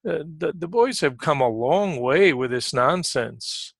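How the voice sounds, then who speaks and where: A man giving informal live market commentary as a trading coach, conversational and repetitive for emphasis, often clearing his throat. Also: on the high side, lively intonation, unhurried, no echo, studio quality.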